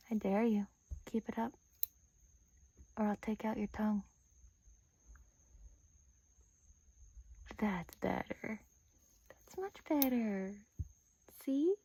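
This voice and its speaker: Woman acting a slow, breathy, menacing vampire woman. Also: medium pitch, no echo. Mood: threatening. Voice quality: soft, breathy, often dropping to a whisper. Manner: slow, teasing, with drawn-out pauses. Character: playful, menacing female vampire captor, teasing and sadistic. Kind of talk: soft-spoken ASMR roleplay.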